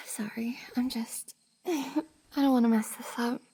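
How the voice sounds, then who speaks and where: Woman acting a high-pitched, shy, whispery young woman. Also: fairly even intonation, slow pace, mellow, exaggerated voice. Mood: affectionate, playful. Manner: breathy, hesitant, sighing, often dropping to a whisper. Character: shy, flustered young woman cosplayer confessing to best friend. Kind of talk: intimate roleplay ASMR audio drama.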